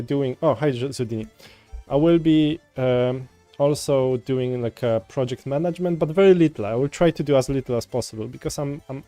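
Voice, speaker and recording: A man's voice: medium pitch, dry recording. A man from Poland with a Polish accent, chatting casually and rambling with viewers while he codes on a livestream. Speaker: coding livestreamer. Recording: faint music in background. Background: soft folk music.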